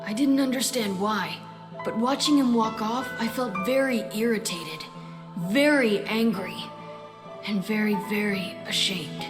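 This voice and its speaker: A woman's voice: medium pitch, measured pace, natural voice. A woman voicing an indignant, hot-tempered young boy who acts and narrates his memories in an audio drama. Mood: reflective narration. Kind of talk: audio drama acting with first-person narration. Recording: long reverb, music behind the voice. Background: background music.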